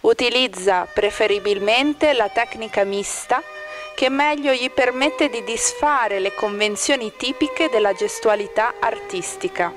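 A woman's voice: on the high side, over music. Woman reading formal art commentary. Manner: measured, formal reading of critical text. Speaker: exhibition narrator. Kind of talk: scripted art-critic narration.

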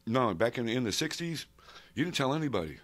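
A man's voice: mid-range voice, very animated, brisk, a bit bright. A man in his sixties, interviewed on a stand mic in a studio, telling long rambling stories and wandering off the question. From grew up abroad, Saudi Arabia, Africa and India. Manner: rambling, digressive, loses the question. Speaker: interviewee, comic collector. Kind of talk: rambling oral-history interview. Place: studio with painted backdrop, indoors. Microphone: stand mic close in front.

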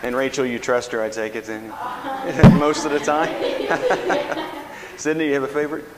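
A man's voice: medium pitch, steady tone, measured pace. A man hosting a school radio show, interviewing a group of students in a casual, encouraging way. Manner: friendly, encouraging, chuckling asides. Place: large classroom with hard walls. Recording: echoey hall with background noise.

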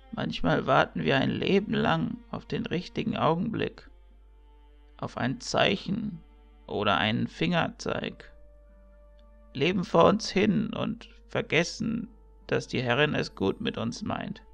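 A man as a gravelly old village mentor. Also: on the high side, lively intonation, slow, fairly bright tone, soft background music, heavily acted. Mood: wise, reflective. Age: older. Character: old village mentor priest, kindly, teasing and wise. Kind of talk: amateur fantasy audio drama acting.

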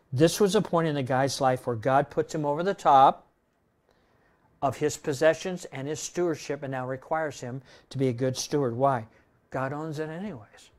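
A man in his sixties preaching a sermon in a church room, conversational, tossing in "right?" and swinging between loud and soft. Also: medium pitch. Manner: conversational preaching, tag questions, dynamic swings in loudness. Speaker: pastor. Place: church room with projector screen.

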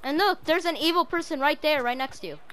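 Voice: high-pitched, fast, a loud crowd. A female player improvising a roleplay over game voice chat, confrontational and commanding in manner.

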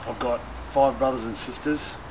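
A young Australian man, a rugby player, speaking casually and a little haltingly in an outdoor interview. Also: mid-range voice, steady tone. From Newcastle, Australia. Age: young adult. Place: open-air stadium stand by a running track. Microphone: camera mic a short distance away. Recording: loud outdoor wind and ambient noise.

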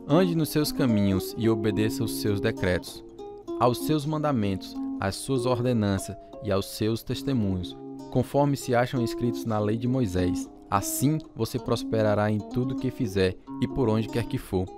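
Actor as earnest low-voiced Brazilian pastor over guitar. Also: male voice, normal speed, lightly acted. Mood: reflective. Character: adult pastor narrating his life story, earnest, reflective. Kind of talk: radio drama narration and dialogue. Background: guitar music.

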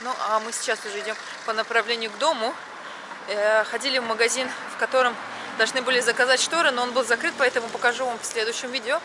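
A woman's voice: a little high, steady tone. A woman in her thirties talking to a handheld camera while walking, drawing out her words and stressing final syllables. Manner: chatty, drawn-out vowels, stress on last syllables. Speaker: vlogger. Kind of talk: walking vlog narration. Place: city street at night, outdoors. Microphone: action camera at arm's length. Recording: street noise outdoors.

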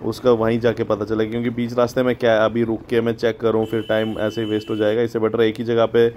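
A man's voice: fairly low pitch, monotone, fast, muffled recording, a little hiss or hum. A man vlogging casually as he rides a motorbike outdoors, rambling and thinking aloud, recorded on a phone mounted on the bike. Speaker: motovlogger. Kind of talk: casual vlog chat. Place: outdoors riding a motorbike on the road.

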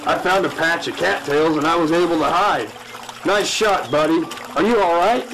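A man playing a calm, matter-of-fact fish-finder expert in a spoof radio drama. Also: fairly high pitch, moderate pace, background noise, natural voice. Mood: concerned. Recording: music under the voice.

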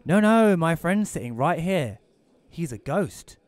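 A man's voice: a little high, very animated, a little slow, no echo. A man playing a curt, sarcastic, antisocial widower who narrates his own story in an audio play. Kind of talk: first-person audio play narration and dialogue.

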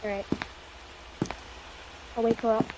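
A woman's voice: on the high side, flat delivery, unhurried, background noise, close to an everyday voice. A woman playing a scheming, mocking kidnapper in an amateur zombie game roleplay, with a bossy, offhand manner. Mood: bossy, brisk.

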